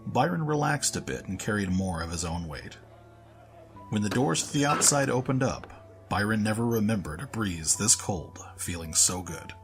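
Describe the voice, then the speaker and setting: Male narrator, low register, scripted fiction storytelling over faint music. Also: expressive, normal speed.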